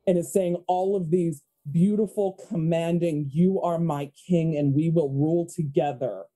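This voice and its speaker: A woman's voice: sits low, fairly even intonation, unhurried, dark tone. A woman playing a tabletop roleplaying game, improvising her character's scenes and chatting casually at the table.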